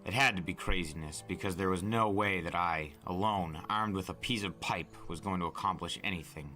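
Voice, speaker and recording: A low-voiced man tells a dramatic tale as narrator. His tone is bright and forceful, the phrasing intense and deliberate, with music behind him. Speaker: storyteller, podcast narrator.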